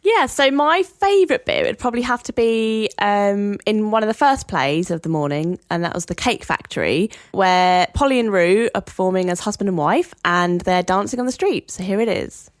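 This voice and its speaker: Woman, podcast presenter, lively pitch movement, scripted delivery, dry recording. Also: normal speed, few pauses, no echo. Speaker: podcast co-host.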